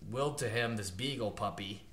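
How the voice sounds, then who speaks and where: A man's voice: on the low side, brisk, fairly bright tone. A man co-hosting a podcast, chatting casually and loosely with lots of fillers, retelling a movie plot. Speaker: podcast co-host. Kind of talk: casual chat. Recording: roomy echo.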